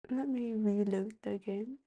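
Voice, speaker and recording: A woman's voice: medium pitch, measured pace, warm tone, lo-fi sound. A woman streaming a video game, casually commenting and reading dialogue aloud. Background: faint game music.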